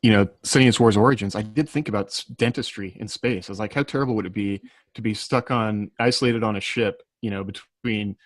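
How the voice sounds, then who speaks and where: Male panelist, casual, lower register, rapid conversational delivery. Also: steady tone, no room sound. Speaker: podcast panelist, author. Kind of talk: casual chat.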